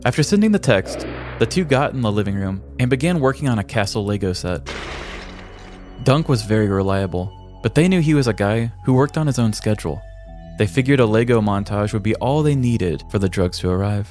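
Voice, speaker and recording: A man hosting a podcast, telling a comic story in a wry, deadpan scripted narration. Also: lowish voice, a little fast, faint music behind.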